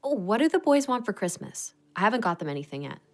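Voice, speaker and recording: A woman playing a tender, grieving younger sister in an intimate audio drama, speaking in a gentle, wistful way. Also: mid-range voice, big pitch swings, fast talker. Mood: gentle, coaxing.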